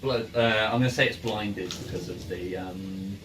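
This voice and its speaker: A man acting as game master, improvising tabletop narration in a halting, hesitant way, recorded in a noisy room. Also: lowish voice, reverberant. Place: in-person game room with ambient sound. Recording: noisy room, distant microphone.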